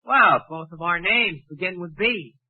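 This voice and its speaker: Shy, high-voiced, slightly rough biology teacher. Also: male voice, unhurried, phone-quality sound. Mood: bashful. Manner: hesitant, naive. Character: shy, literal-minded, oblivious male biology teacher. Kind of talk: 1940s radio comedy acting. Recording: thin, husky old radio recording, faint audience.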